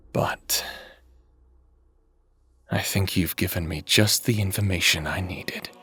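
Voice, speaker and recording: Man playing menacing necromancer villain, slow, deliberate, mocking, theatrical audio drama. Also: on the low side, a bit bright. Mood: cold menace. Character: gloating, menacing male necromancer, coldly scheming mad experimenter. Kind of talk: dramatic scripted audio roleplay.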